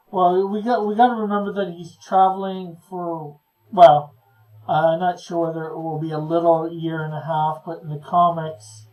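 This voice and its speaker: A man hosting a live stream, chatting casually and reading comments in a halting, chuckly way. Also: a little high, highs cut off.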